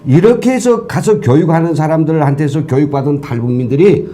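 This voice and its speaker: A man with a somewhat high pitch for a man speaks as a talk show guest. His voice is mellow. He explains points earnestly, in a lecturing way. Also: fairly quick. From North Korea. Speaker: guest, former professor.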